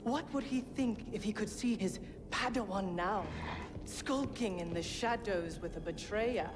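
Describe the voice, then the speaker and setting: An actress playing a bitter, manipulative villainess, a fallen warrior, with a deliberate, taunting way of talking. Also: female voice, medium pitch, background noise, lightly acted. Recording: loud music behind the voice.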